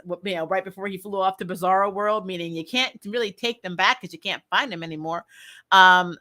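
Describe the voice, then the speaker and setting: A woman giving casual, opinionated commentary as a show host, chatty and animated, with blunt, slangy phrasing. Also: mid-range voice.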